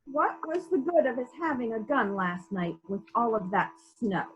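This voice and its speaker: A woman playing a brisk, authoritative police detective who puts pointed questions in a murder mystery reading, on slightly dull lo-fi audio. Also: on the high side, big pitch swings. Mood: probing, brisk.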